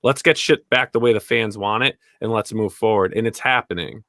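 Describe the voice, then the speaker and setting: A man's voice: fairly low pitch, a little fast, fairly bright tone, no room sound, studio quality. A man talking bluntly and casually in an opinionated panel chat, repeating his key phrases for emphasis and swearing now and then.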